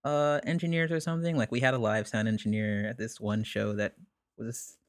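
A young man, a musician guest, telling stories in a relaxed, rambling way, with many "like"s and frequent laughs. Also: mid-range voice, a little fast, dry recording, studio quality. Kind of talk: casual conversation.

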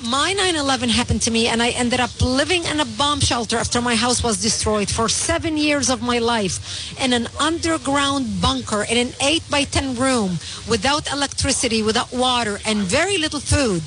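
Emphatic high-voiced woman from Lebanon. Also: a bit bright, poor audio. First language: Arabic. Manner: emphatic, insistent, lists points in rapid series. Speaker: guest commentator on terrorism. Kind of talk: interview.

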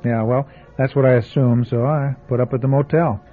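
A man's voice: medium pitch, steady tone, normal speed, natural voice. A man playing a jittery stammering reporter, tinny radio. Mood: uneasy. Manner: hesitant, stammering with uneasy fillers. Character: nervous young male traveling reporter, jittery. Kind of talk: old-time radio drama acting. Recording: phone-like old radio broadcast with faint music.

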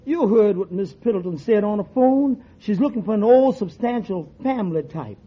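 An actor playing a sly, teasing tavern waiter in a 1940s radio comedy. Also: male voice, high voice, animated, normal speed, breathy edge. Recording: dull old radio broadcast.

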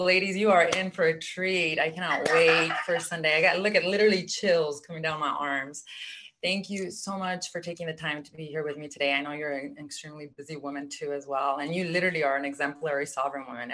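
A woman's voice: low-pitched, fairly bright tone. A woman hosting a podcast, effusive and gushing with praise as she thanks her guest and wraps up the show. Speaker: podcast host. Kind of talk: casual podcast interview.

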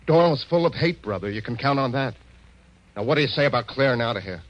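An actor playing a surly, cagey small-time crook in 1940s radio style, talking in evasive, grudging slang. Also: male voice, mid-range voice, animated, fast, close to an everyday voice. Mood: surly, warning. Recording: dull vintage radio recording.

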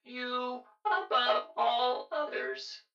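A man voicing a shy old porcelain boy automaton magician, speaking slowly, gently and playfully. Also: extremely high pitch, gravelly, highs cut off, faint music behind, pitched above the performer's own voice, full character voice. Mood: playful gentle.

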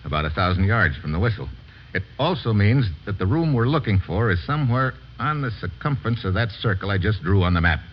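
A man playing a brisk, resourceful American investigator in an old-time radio drama, with a clipped, commanding manner. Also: lowish voice, big pitch swings. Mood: focused, businesslike. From United States. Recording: dull, noisy old radio recording.

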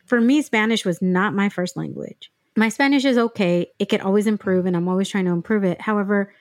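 A woman's voice: mid-range voice, brisk, darkish tone, dry recording, clean studio sound. Chatty woman podcast host. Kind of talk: casual podcast conversation.

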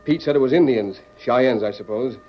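An actor playing a sober, concerned railroad colonel in an old-time radio western, speaking in a measured, grave manner. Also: male voice, medium pitch, slight background noise, close to an everyday voice. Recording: muffled vintage radio recording.

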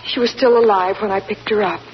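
An actress playing an earnest, remorseful young country woman who speaks softly and stammers. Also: medium pitch, steady tone, fairly quick, natural voice. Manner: hesitant, stammering, soft-spoken. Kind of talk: 1940s radio acting. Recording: dull 1940s radio broadcast, faint hiss.

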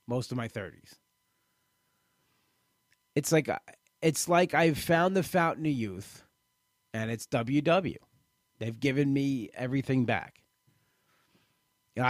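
A man in his late thirties talks candidly about his own experience, stumbling over his words and starting sentences again and again. His voice sits at an ordinary middle pitch, and the recording is dry and close with no room sound. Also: no room sound. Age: late 30s. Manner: halting, many restarts and repeated words. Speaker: weight-loss program member. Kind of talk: personal testimonial talk.

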